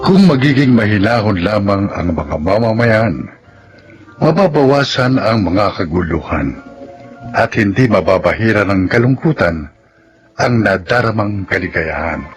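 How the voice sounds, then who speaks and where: A man reading as a formal, measured radio drama announcer. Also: on the low side, lively intonation. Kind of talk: scripted announcement, credits reading. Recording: music under the voice. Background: music.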